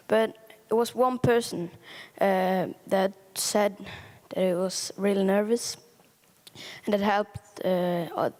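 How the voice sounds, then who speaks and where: Halting young woman, slow and gravelly. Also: medium pitch, a little mellow. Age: young adult. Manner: halting, many uh fillers. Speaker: conference participant. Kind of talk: casual reflection. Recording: long hall echo.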